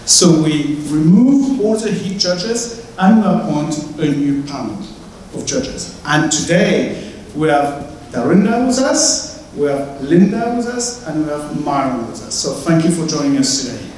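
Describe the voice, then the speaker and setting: A middle-aged man with a French accent, hosting a university event in a chatty, welcoming way with some halting fillers. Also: fairly high pitch, sing-song, darkish tone, a little hiss or hum. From France. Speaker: host. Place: large lecture hall, indoors. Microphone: handheld mic, far camera. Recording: very echoey hall from far off.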